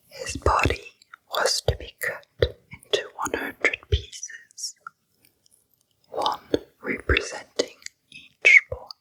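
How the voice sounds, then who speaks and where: A woman whispering a slow true crime story as an ASMR narrator. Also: poor audio. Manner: slow, soft unvoiced whisper throughout.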